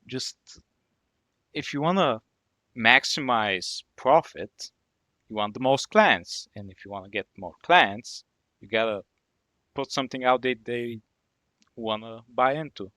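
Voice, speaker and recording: A man's voice: mid-range voice, expressive, unhurried, pauses often, sharp tone, no room sound. A man co-hosting a podcast, asking questions casually with fillers. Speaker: podcast co-host. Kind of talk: podcast interview.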